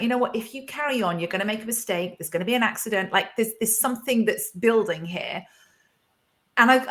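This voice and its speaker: A woman's voice: medium pitch, fairly bright tone. A woman in her forties telling a personal story in a candid podcast chat, open and full of small restarts. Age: 40s. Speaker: podcast host.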